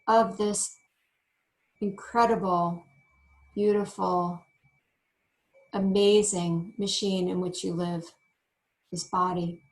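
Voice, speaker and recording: A woman teaching yoga meditation, giving gentle instructions in short phrases with frequent pauses. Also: mid-range voice. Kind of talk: guided meditation.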